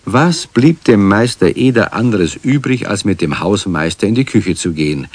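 A man narrating a children's radio play as a calm, wry storyteller in a scripted delivery. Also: fairly low pitch, moderate pace, no room sound. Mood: wry, storytelling.

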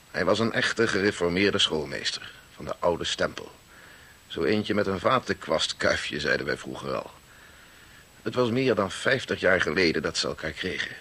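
A man's voice: deep voice, vocal fry, pushed and bright, slightly rough, heavily acted. An actor playing an ageing family man who reflectively narrates his clan's chronicle in a 1960s radio drama. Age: older. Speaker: narrator in character.

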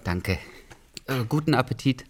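An actor playing a polite, inquisitive aviation official in a radio play, speaking in an understated, slightly hesitant way. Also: male voice, medium pitch, lively intonation, unhurried, a little hiss or hum, close to an everyday voice. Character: polite, inquisitive male aviation authority investigator. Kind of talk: naturalistic radio play dialogue.

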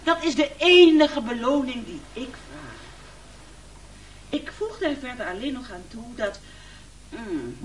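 Hoge, weifelende, kruiperige kok. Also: female voice, high voice, slight background noise, heavily acted, rough recording. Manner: hesitant, self-effacing, ingratiating. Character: falsely modest, wheedling cook pleading before diners. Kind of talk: Dutch radio play acting, speech-making.

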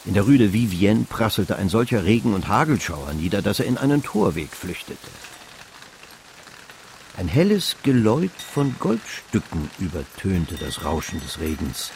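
An actor narrating a literary radio play as a measured storyteller. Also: male voice, low voice, big pitch swings, a little mellow, a little hiss or hum.

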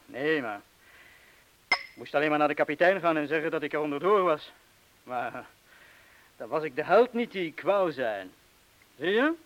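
A man's voice: fairly high pitch, expressive, moderate pace, long pauses, a bit bright, no room sound. An actor playing a self-doubting wartime bomb disposal man, confessing his cowardice in a colloquial, bitterly self-deprecating way, as in a radio play. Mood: bitter confession. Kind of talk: Dutch radio play acting. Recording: hissy old radio play recording.

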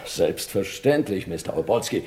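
An actor playing a pompous, oily small-town politician in a German crime radio play, self-important and obsequious. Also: male voice, deep voice, lively intonation, moderate pace, a little mellow. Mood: oily politeness.

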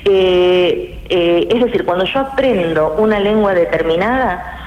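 A woman, a guest linguist on a radio interview, explaining in a measured, academic way with many hesitations. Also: on the low side. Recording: roomy, muffled radio with hiss.

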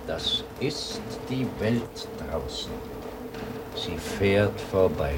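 A man's voice: low-pitched, expressive, very slow, heavy background noise, heavily acted. A man playing a troubled old grandfather in a German radio play, hesitant and wistful, longing for a world he remembers. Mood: shaken.

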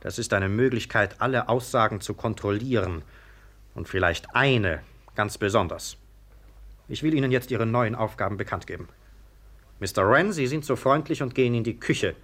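Stern, insistent police sergeant. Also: male voice, on the low side, moderate pace, a bit bright. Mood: commanding. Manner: commanding, clipped, insistent. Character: stern, suspicious police sergeant interrogating suspects. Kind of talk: 1950s radio drama acting.

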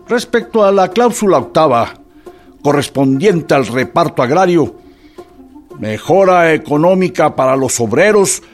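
A fairly high male voice as a pompous political chief. He dictates a decree with bureaucratic authority in radio-play style, music softly behind. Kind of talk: radio drama acting.

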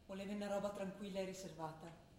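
A woman's voice: medium pitch, steady tone, slight background noise, natural voice. A woman playing a defiant young daughter. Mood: quiet, firm. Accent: Trentino. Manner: quick, firm and quietly defiant. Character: young woman, a determined daughter just graduated. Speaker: stage actor. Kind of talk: theatre drama broadcast on radio. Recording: distant, very echoey stage sound.

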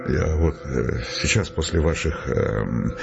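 An actor playing an earnest, polite, hesitant man in a Russian audio drama, speaking in a halting, digressive, apologetic way. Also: a bass voice, lively intonation, creaky, highs cut off, over music, heavily acted.

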